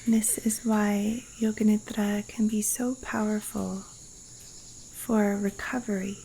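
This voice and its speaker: Woman, meditation teacher, very slow pace, soft mellow tone, breathy hush, long pauses, faint insects behind. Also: mid-range voice, flat delivery, rough recording. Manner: hushed, breathy, soothing delivery with long pauses. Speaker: yoga nidra teacher guiding a meditation. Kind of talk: guided meditation. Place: outdoors in a Costa Rican jungle. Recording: faint rainforest insect and cricket noise. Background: insects and rainforest wildlife sounds.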